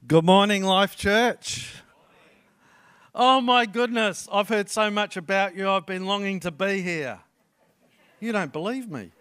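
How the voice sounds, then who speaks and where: A man's voice: high-pitched, measured pace, a bit bright, clean tone. A middle-aged Australian man, a guest pastor, preaching a sermon in an effusive, chatty way with personal stories.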